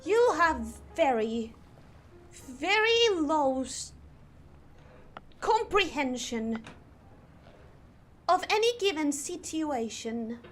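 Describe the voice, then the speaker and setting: A young woman streaming live, chatting in an exaggerated put-on posh British accent with a theatrical, drawn-out delivery. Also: high-pitched, sing-song, faint music behind. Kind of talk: casual live-stream chat.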